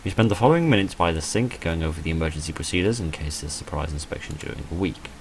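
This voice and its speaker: Very deep-voiced man reading a visual novel. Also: expressive, fast, a little hiss or hum. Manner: dry, self-deprecating humour. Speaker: video creator playing and reading a visual novel. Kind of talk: let's play, reading game text aloud with off-script asides.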